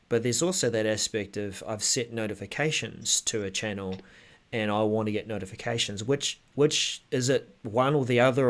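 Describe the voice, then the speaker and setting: A man co-hosting a casual tech show, explaining things tentatively as he thinks aloud. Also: lowish voice.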